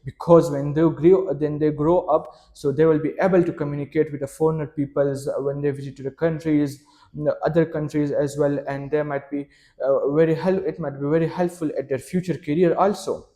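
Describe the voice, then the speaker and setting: A man's voice: on the high side, steady tone, fairly quick, warm tone. A young man whose first language is Pashto, answering questions in a mock speaking exam with many fillers and restarts. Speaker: test candidate.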